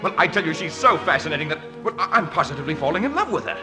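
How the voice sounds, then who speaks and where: A smitten, effusive society gentleman in a 1950s radio musical. His highish voice is very animated. Loud music runs through the old broadcast. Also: racing. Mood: gushing. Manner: effusive, theatrical.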